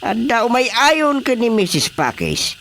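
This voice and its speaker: A man acting an excitable, chatty village companion in a radio comedy drama, teasing and exclamatory, with an Ilocano Filipino accent. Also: very high-pitched, unhurried, a little hiss or hum, full character voice. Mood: excited.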